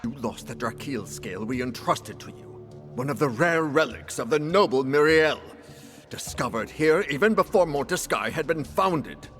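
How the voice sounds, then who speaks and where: An actor playing a stern, haughty official of a hunters' order in a fantasy game, speaking formally and accusingly. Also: male voice, on the high side, expressive, normal speed, a bit bright, soft background music. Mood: stern indignation. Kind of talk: dramatic fantasy game acting.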